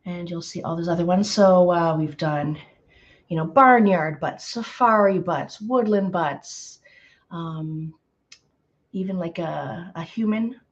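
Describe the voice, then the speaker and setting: A slightly hoarse woman hosting a live painting tutorial, chatty and greeting viewers by name with lots of ums. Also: lowish voice, slow pace, lo-fi sound. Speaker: painting instructor.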